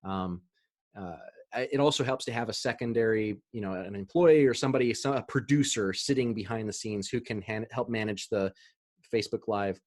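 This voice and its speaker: A man's voice: lowish voice, fairly quick, darkish tone. A man presenting a marketing webinar over a video call, chatty, with fillers and easy laughs.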